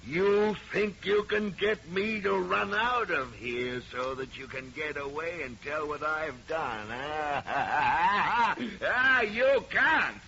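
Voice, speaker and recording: An actor playing a murderous husband cracking with fear, theatrical, in an old radio horror play. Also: male voice, a little high, expressive, slow, hardly any pauses, exaggerated voice. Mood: gloating menace. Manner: theatrical, swinging from muttered dread to shouting. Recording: noisy, dull old radio recording.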